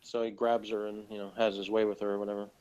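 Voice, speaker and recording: A man in a casual review discussion, arguing his point in a halting, self-correcting way. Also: lowish voice, little pitch movement, darkish tone, smooth. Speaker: podcast co-host reviewing TV episodes. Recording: dull lo-fi audio.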